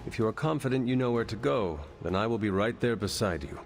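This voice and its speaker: An actor playing an earnest hero in a video game cutscene, speaking formally and stiffly without contractions. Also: male voice, fairly low pitch, animated, quick pace, music in the background. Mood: loyal.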